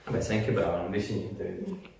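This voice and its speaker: Man, 30s, chiropractor; deepish voice, brief informal instructions, very reverberant treatment room. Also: big pitch swings, fairly quick, vocal fry, noisy background. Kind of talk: giving instructions and casual explanations to a patient. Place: small indoor treatment room. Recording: very echoey small room.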